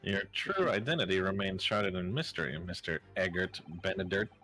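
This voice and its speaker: A man's voice: low voice, expressive, normal speed, pushed and bright, soft background music. A man voicing a suave, theatrical detective in a fan dub of a video game. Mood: accusing.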